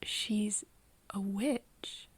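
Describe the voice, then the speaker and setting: A woman in an ASMR roleplay playing a nervous, caring friend, speaking softly and haltingly and often slipping into breathy whispers. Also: mid-range voice, steady tone, no room sound. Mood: cautious, uneasy. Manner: hesitant, halting, with pauses and sighs. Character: nervous, caring young woman confiding in her vampire friend. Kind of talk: ASMR roleplay, intimate scripted acting. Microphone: close microphone, dry room.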